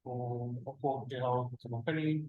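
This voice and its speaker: Man from Hawaii, mid-pitched, formal intro with pauses, narrow phone-like audio. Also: steady tone. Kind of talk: self-introduction. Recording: tinny phone-like sound.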